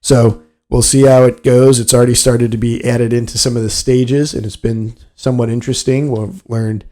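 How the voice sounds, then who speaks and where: A man's voice: medium pitch, level tone, normal speed, warm tone. A man hosting a solo sports business podcast, explaining things in a matter-of-fact way. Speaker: podcast host.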